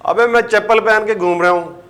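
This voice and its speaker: A man's voice: sits high, normal speed, fairly bright tone. A man arguing casually on a phone call in a blunt, exasperated, challenging way. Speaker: singer, prank call target.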